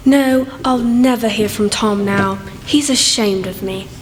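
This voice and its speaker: A woman's voice: medium pitch, measured pace, over music, lightly acted. A woman acting in an amateur radio play as a forlorn, bitter young maid, with a plaintive, wounded manner. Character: young servant girl, forlorn deserted secret wife, bitter. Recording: echoey hall with faint noise.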